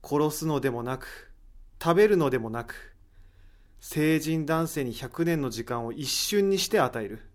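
A man's voice: on the high side, lively intonation, a little slow. A man playing an inquisitive, analytical character in an audio drama, musing his way through a mystery. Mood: thoughtful, intrigued.

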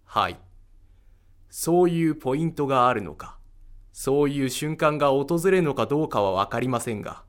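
A man playing a calm, courteous, enigmatic figure in an audio drama, speaking in measured, fatalistic phrases. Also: medium pitch, close to an everyday voice. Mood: grave, explanatory. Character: calm, enigmatic, courteous man who knows ancient secrets.

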